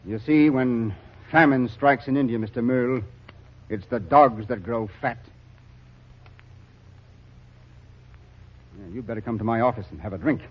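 An actor playing a hardened, blunt army captain working famine relief, grim and weary, in a 1940s radio drama. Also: male voice, medium pitch, fairly quick, husky, close to an everyday voice. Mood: bitter, weary. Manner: blunt, grimly weary, callous. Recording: dull old radio recording.

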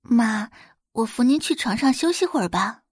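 A sweet, coquettish young girl acted by a woman for an audio drama. She speaks in a lively, high, swooping voice, pleading cutely and stretching her words like a pampered little sister. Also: breathy edge, no echo. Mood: gentle, consoling. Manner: cutesy wheedling with drawn-out words. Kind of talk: Chinese audio drama acting.

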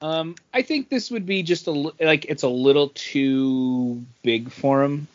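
A man's voice: a little high, a little slow. Man chatting casually on a lo-fi recording. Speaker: podcast co-host. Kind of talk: casual chat about comics. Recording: dull, lo-fi sound.

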